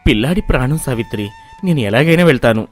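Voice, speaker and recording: A man voicing a gentle, selfless village son-in-law in a moral story, speaking softly and respectfully. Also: mid-range voice, animated, quick pace, faint music behind, close to an everyday voice. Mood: earnest. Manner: soft, respectful, patient. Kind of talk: animated moral-story voice acting.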